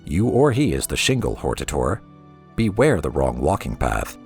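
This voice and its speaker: A man's voice: rumbling low, huge pitch swings, normal speed, a little mellow, faint music behind, full character voice. A man reading aloud as a solemn, cryptic god-king who preaches prophecy in a portentous, incantatory way. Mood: grave warning.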